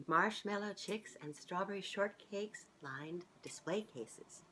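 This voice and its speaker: A middle-aged woman reading a children's storybook aloud in an expressive, sing-song way, as if speaking directly to young listeners. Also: on the low side, slight background noise. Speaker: children's author reading her own book aloud. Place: small living room, on a couch indoors.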